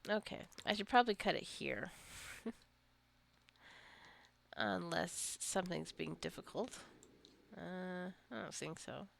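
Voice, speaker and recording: A woman giving casual, rambling gameplay commentary as a let's-play host, musing aloud to the game's characters in a creaky voice. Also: fairly low pitch, lively intonation, slow pace, pauses often.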